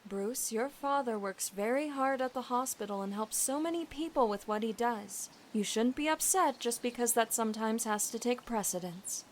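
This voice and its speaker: A woman playing an affectionate, gently firm wife and mother in an audio drama, with a tender, chiding manner. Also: fairly high pitch, expressive, normal speed, hardly any pauses, slight background noise, natural voice.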